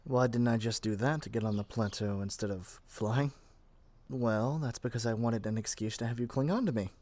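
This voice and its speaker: A man's voice: medium pitch, normal speed, a little mellow, slight background noise, close to an everyday voice. A man playing a lovestruck, possessive young male witch in an ASMR roleplay, chatty and gushing with flustered hesitations. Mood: teasing, affectionate. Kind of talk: ASMR roleplay addressed to the listener.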